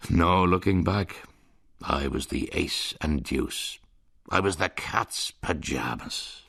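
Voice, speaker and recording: A man's voice: low voice, slow pace, gravelly, fairly bright tone, breathy edge. A man narrating in the first person as a piper in a radio drama, in a wry, dry storytelling manner.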